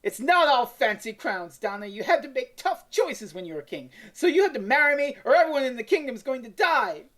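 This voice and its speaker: A woman's voice: high-pitched, sing-song, fairly quick, few pauses, fairly bright tone, voice pushed up, full character voice. A woman voicing a self-righteous young king in a podcast retelling, pompous and insistent. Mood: insistent, self-justifying.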